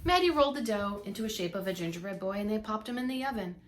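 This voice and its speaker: Female preschool teacher, mid-pitched, expressive read-along storytelling for little kids, light room noise. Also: fast. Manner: emphatic storytelling with asides to listeners. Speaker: preschool teacher reading aloud.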